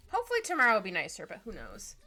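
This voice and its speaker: A woman's voice: mid-range voice, sharp tone. A woman chatting casually on an art livestream, bubbly and giggly, rambling through asides with a slightly stuffy nose. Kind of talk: casual livestream chat while drawing. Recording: music behind the voice. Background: background music.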